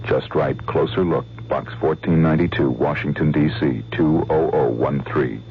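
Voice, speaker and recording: A man's voice: a bass voice, fairly even intonation, moderate pace. An American man reading a scripted public service announcement. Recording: thin phone-like radio sound, faint hum.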